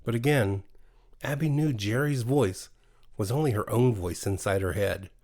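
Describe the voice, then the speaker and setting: A man's voice: lowish voice, moderate pace, darkish tone. A man with an American accent narrating a scripted bedtime horror story as a storyteller. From USA.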